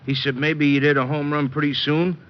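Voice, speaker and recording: An actor playing a big, slow-witted, good-natured wrestler with a simple, hesitant way of talking, in old-time radio drama style. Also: male voice, on the high side, level tone, natural voice. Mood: friendly, puzzled. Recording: dull old radio recording, light noise.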